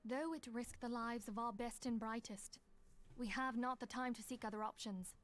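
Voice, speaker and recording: A woman's voice: a little high, normal speed, natural voice. A woman playing a grave, resolute leader in a fantasy video game, speaking formally and solemnly.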